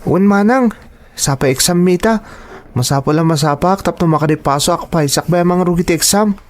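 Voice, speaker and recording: A woman's voice: fairly low pitch, a little fast, darkish tone, close to an everyday voice. A woman voicing a studious, trusting schoolchild, the curious younger sibling in an audio drama, with a Filipino accent. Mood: bright, eager. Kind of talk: Ilocano audio drama acting.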